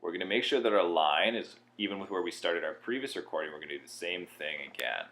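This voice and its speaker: A man with a low voice presents a software tutorial. He explains each step in an informal way, as if guiding a beginner through it. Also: lively intonation, fast.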